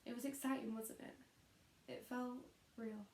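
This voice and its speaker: A woman's voice: fairly high pitch, fairly even intonation, moderate pace, gravelly, dark tone, a little hiss or hum. A woman playing a weary, disillusioned young schoolteacher in an introspective, confiding stage monologue. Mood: wistful. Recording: echoey room.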